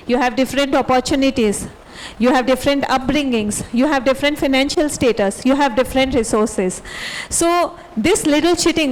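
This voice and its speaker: A woman's voice: high voice, poor audio. A woman, a principal on a panel, giving students direct, motherly advice. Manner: motherly, direct, addresses students as dear children.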